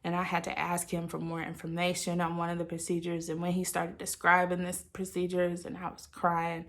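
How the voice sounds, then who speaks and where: Casual woman vlogger with a gravelly edge. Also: on the low side, monotone, a little fast. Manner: informal, chatty, candid. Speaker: vlogger sharing a personal video diary. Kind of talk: casual confessional chat to viewers.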